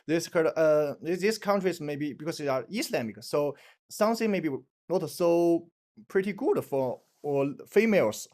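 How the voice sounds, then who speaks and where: Halting man searching for words. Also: a little high, expressive, a little mellow. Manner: hesitant, halting, searches for words with many fillers. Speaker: channel host. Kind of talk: casual interview introduction. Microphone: video call.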